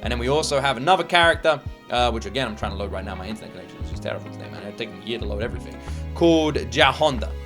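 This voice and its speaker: Man reacting casually, lively swooping pitch. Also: rapid-fire. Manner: informal, musing aloud, thinks through what is seen. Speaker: video host reacting to game trailers. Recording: loud music throughout. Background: loud instrumental music.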